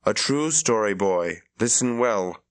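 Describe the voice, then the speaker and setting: A man voicing a calm, composed woman sorceress who gives measured orders. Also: fairly low pitch.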